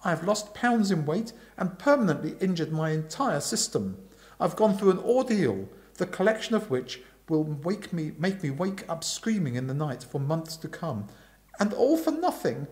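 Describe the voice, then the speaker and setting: A man voicing an excitable, dramatic young upper-class gentleman with exclamatory old-fashioned slang. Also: fairly high pitch, moderate pace, pitched above the performer's own voice. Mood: aggrieved.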